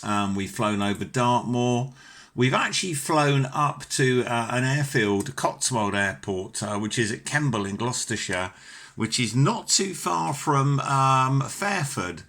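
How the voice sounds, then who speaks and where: Bright-voiced British man on ham radio. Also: medium pitch, lively intonation, a little slow. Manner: enthusiastic, courteous, chatty. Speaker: amateur radio operator. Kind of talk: conversational ham radio exchange. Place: home ham radio station.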